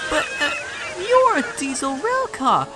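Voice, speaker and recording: A woman's voice: high-pitched, big pitch swings, unhurried, crowd noise. A woman voicing an earnest, obliging young male tank engine in an amateur audio drama, polite and a bit hesitant. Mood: puzzled, unsure. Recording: background music under the voice.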